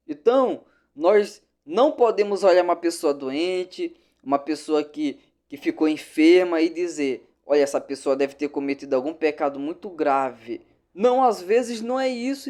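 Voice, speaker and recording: A man's voice: high-pitched, a little mellow. Emphatic man teaching Scripture. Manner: emphatic, repeats key phrases, talks directly to the listener. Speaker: Bible teacher. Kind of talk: Sunday school Bible lesson.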